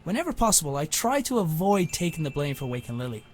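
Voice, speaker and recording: A man playing a wry young father in an adventure game, narrating with deadpan understatement. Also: fairly high pitch, fast, a little hiss or hum. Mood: wry, measured. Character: wry young father searching for his missing dad.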